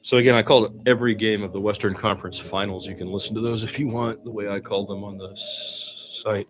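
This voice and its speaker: A man's voice: lowish voice, fairly even intonation, fast talker, a little hiss or hum. A man doing informal solo basketball play-by-play commentary, conversational and given to chatty asides.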